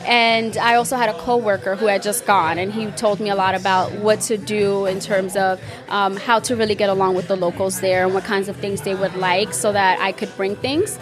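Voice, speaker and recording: A woman's voice: mid-range voice, little pitch movement, moderate pace, hardly any pauses, over music. A woman with a Dominican accent, a podcast guest, casually and frankly telling a travel story in a bar. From Dominican Republic.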